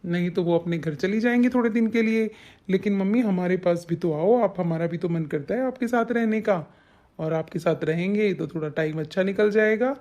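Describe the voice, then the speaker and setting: A man's voice: high voice, moderate pace, darkish tone, natural voice. A man voicing an affectionate adult younger son in a family cartoon drama, coaxing and pleading with his mother.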